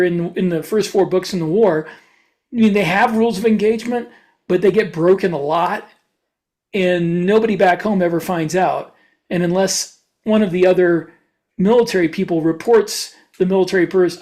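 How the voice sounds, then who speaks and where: A man's voice: high voice, a little mellow. A man who hosts a podcast and writes science fiction, talking casually and restarting his explanations as he goes.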